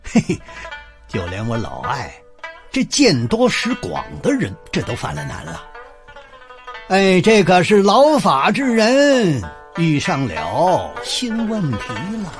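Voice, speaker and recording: Animated, folksy old Beijing man. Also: high-pitched, slow, faint music behind, full character voice. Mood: folksy, enthusiastic. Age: older. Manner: chatty, folksy, theatrical, chuckling interjections. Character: chatty retired courthouse doorman, eager neighborhood law volunteer. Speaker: storytelling host and lead character of a radio drama. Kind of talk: Chinese radio drama acting, folksy storytelling.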